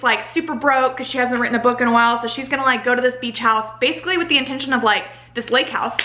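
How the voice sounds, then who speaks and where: High, bright young American woman, chatty. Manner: animated exasperated ranting, heavy use of "like". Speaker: book reviewer. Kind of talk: casual chatty book review to camera. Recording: roomy home echo.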